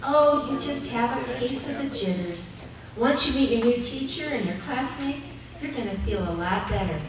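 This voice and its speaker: A brisk, doting mother played by a woman in a 1950s-style radio drama, her voice a little high. She is heard at some distance on a very echoey live stage with clear background noise. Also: animated, lightly acted. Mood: reassuring. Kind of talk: live old-time radio play acting. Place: theater stage before live audience.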